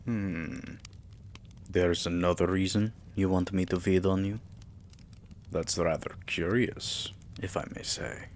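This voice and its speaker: A man playing a tender, possessive vampire king in an intimate roleplay ASMR, speaking softly and breathily with courtly endearments and often dropping into a whisper. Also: low-pitched, fairly even intonation, slow pace, slight background noise. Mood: gentle, curious. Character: tender, possessive male vampire king doting on his human pet.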